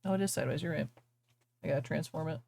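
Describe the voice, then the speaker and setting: A woman's voice: low-pitched, very fast, vocal fry, darkish tone, a little hiss or hum. A woman hosting a casual livestream, talking in a playful, teasing way. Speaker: livestream host.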